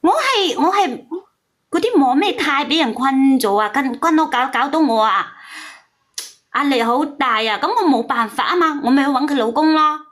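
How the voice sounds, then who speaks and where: A woman's voice: high voice, expressive, moderate pace, fairly bright tone. A woman, calling in and insistently justifying herself as she tells her personal story. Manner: defensive, self-justifying, insistent. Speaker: caller on a story call-in show. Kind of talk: heated personal confession.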